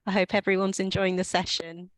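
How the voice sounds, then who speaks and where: A woman's voice: mid-range voice, flat delivery, fairly quick, no echo, rough recording. A woman co-hosting on a video call, stumbling and repeating words. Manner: apologetic, stumbling. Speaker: co-host. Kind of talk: casual chat on a video call.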